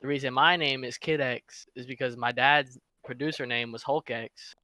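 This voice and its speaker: Fifteen-year-old boy with a bright, edgy tone at an ordinary pitch, heard close and dry. He answers in a loose, joking way. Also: fairly even intonation, normal speed, dry recording. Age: teens. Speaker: interview guest. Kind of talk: casual chat.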